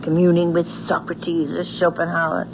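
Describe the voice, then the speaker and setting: A woman acting in a 1970s radio play as an affectionate, placating young fiancée, soothing and expressive. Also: on the low side, moderate pace, gravelly. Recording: muffled, noisy old radio recording.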